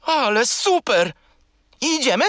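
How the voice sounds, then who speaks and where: A man's voice: very high-pitched, slow pace, music in the background, full character voice. A man voicing a small, furry, childlike male creature, curious and naive, in a children's audio drama. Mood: excitable. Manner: excitable, opinionated, childlike.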